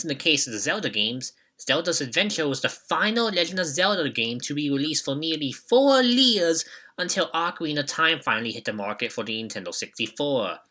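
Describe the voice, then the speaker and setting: A man's voice: medium pitch, bright, forceful tone. A young man hosting a video, reading a scripted retrospective narration. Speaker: video host.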